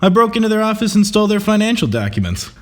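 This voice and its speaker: A man's voice: sits high, sing-song, fast. An actor playing a brash, overconfident man with grand schemes in a comedy sketch. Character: brash, scheming, overconfident male civic crusader.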